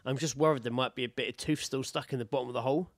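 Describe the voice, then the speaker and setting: Man, British, thirties, casual chat, matey storytelling, swearing, medium pitch, dry room sound. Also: fairly even intonation, racing, no room sound. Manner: sweary, matey storytelling, quoting himself and others. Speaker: podcast co-host.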